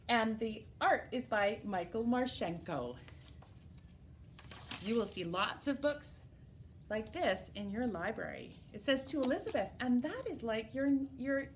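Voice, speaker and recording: A woman reading a picture book aloud to young children as a storyteller, animated and emphatic, drawing words out for drama. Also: on the high side, slight background noise. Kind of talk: expressive children's read-aloud.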